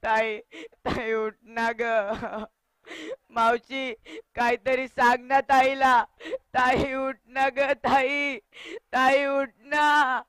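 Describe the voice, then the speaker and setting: A woman playing a grieving orphaned younger brother in a melodramatic stage monologue, crying and pleading in drawn-out phrases. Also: a little high, flat delivery, clean tone, no room sound. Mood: tearful pleading.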